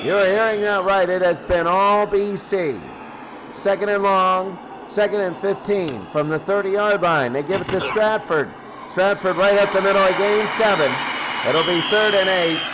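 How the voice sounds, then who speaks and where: Male sportscaster, high voice, live football play-by-play, clipped calls, noisy recording. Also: unhurried. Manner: clipped, present-tense calls. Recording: loud noise behind the voice.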